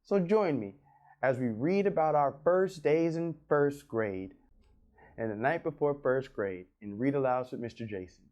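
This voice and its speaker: A man's voice: medium pitch, animated, measured pace, darkish tone, dull audio. A man hosting a read-aloud for children, narrating expressively and speaking directly to his young listeners.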